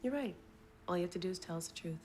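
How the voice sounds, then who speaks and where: An actress playing a sharp, wry female private detective in a period crime drama, pointed in her delivery. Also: fairly low pitch, expressive, racing, over music, close to an everyday voice. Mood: wry, pressing.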